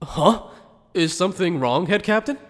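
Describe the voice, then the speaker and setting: A man's voice: sits high, lively intonation, moderate pace. A man playing an earnest, dutiful junior officer in an anime fan dub, polite and easily flustered. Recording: long hall reverb.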